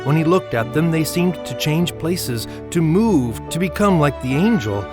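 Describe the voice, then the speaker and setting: A man narrating a Christmas story in an audio drama, with measured, expressive literary delivery. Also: on the high side, natural voice. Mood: measured wonder. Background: music under the voice.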